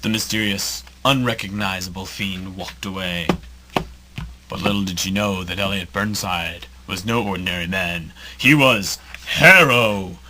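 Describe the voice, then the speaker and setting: A man's voice: low-pitched, unhurried, sharp tone. A man narrating an amateur audio drama as a dramatic storyteller. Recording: noisy amateur recording with clicks.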